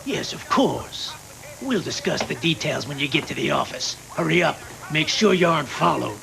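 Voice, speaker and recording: A man acting a scheming, cold, domineering crime boss with a gravelly voice in an English anime dub. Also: fairly high pitch, expressive, normal speed. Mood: controlling. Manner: smug, commanding. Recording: noisy worn VHS tape with hum.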